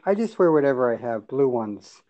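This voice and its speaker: Low-key man, faint hiss behind. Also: very animated, a little fast, muffled recording. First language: Yiddish and Hebrew. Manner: plain, repetitive, low-key. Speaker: podcast co-host. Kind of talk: casual chat.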